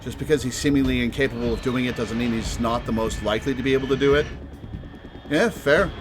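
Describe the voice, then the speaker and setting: Male streamer: casual, wry, mid-pitched reaction commentary with music clearly underneath. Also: fast. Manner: wry, chatty. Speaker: streamer reacting to game cutscenes.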